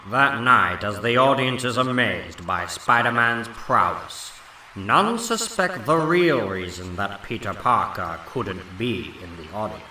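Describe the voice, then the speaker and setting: A man's voice: on the low side, expressive, measured pace, pushed and bright, distant mic, full character voice. A man playing a portentous comic-book narrator, delivering scripted drama. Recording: roomy echo with faint noise.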